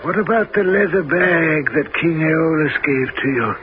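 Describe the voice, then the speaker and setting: A bold, greedy sailor in an old radio drama, with a higher voice that swings widely as he presses his demands. He speaks slowly and bluntly. The sound is muffled and hissy, typical of a 1970s broadcast. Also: expressive. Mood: pleading. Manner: blunt, rising to frantic shouting.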